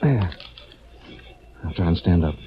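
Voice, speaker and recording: A calm, gentlemanly hero in a 1940s radio mystery. His voice sits fairly low but moves expressively as he reassures. The old recording is muffled, with clear background noise. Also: unhurried. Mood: reassuring.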